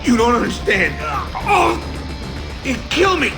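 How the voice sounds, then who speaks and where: An actor playing a frightened hired thug in a video game, agitated and pleading under interrogation. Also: male voice, extremely high pitch, very animated, takes it slowly, gravelly, heavily acted. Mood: terrified, pleading. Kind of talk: cinematic video game acting. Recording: music behind the voice.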